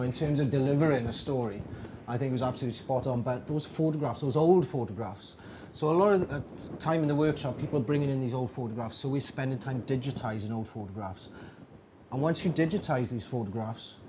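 Male workshop leader, informal and reflective, mid pitch, noisy take. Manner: reflective, with many restarts and fillers. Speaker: digital storytelling workshop leader. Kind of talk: informal talk to an audience.

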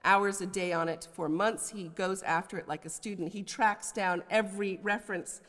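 A woman's voice: medium pitch, fairly even intonation, moderate pace, a bit bright. A woman with an American accent giving an academic poetry lecture, scholarly but with conversational asides. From United States. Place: large indoor lecture hall. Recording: reverberant lecture hall.

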